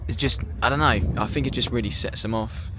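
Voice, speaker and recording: A man hosting a vlog, a bit croaky, chatting upbeat and admiring as he walks around an outdoor car show. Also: mid-range voice, fairly quick, background noise. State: slightly croaky voice. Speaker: vlog host. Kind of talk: casual walkaround commentary. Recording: music playing over the voice. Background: background music.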